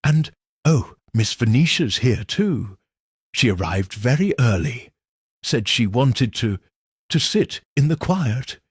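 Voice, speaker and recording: A man's voice: mid-range voice, expressive, slow pace, slightly rough, no room sound, clean studio sound. A man voicing a hesitant, deferential servant woman in a mystery story, respectful in manner.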